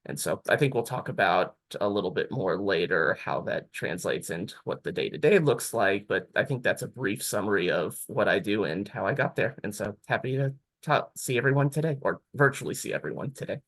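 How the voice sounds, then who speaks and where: Low-voiced man explaining technical work. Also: lively intonation, fairly quick, fairly bright tone. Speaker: career panelist. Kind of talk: panel talk.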